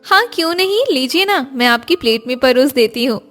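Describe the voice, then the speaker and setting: Woman voicing a high-pitched, polite cartoon housewife. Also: animated, fairly quick, a bit bright, faint music behind. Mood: polite, cheerful. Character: earnest, polite young housewife in a family cartoon. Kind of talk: Hindi moral cartoon story acting.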